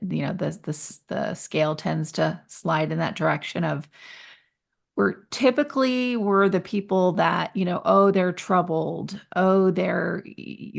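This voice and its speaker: A woman with a lowish, gravelly voice hosts a casual interview chat. She talks in a loose, chatty way and drops quick asides. She laughs easily between thoughts. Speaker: podcast host.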